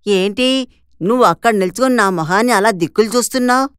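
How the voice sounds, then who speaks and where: A woman voicing a domineering, traditional older mother-in-law in an animated moral story, lecturing sternly. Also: a little high, quick pace, smooth, no room sound, clean studio sound, natural voice.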